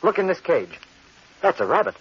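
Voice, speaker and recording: An actor playing a young, ambitious, ruthless scientist with intense, melodramatic 1940s radio delivery. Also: male voice, on the high side, very animated, normal speed, slightly rough, heavily acted. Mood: eager, intense. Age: young adult. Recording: muffled vintage 1940s radio recording.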